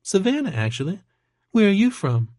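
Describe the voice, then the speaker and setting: Audiobook waitress, played by a male narrator: Georgia drawl, raised pitch, sugary flirt, bubbly and familiar. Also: big pitch swings, normal speed, darkish tone, no room sound, studio quality. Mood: curious, flirty. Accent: Georgia Southern. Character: perky flirtatious waitress, Southern woman who calls customers sugar. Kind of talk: audiobook character voice.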